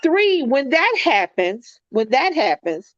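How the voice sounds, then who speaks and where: High-voiced congested woman, earnest. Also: big pitch swings, takes it slowly, dry recording, lo-fi sound. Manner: earnest, reflective, preachy, repeats phrases. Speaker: co-host. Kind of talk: casual heartfelt chat.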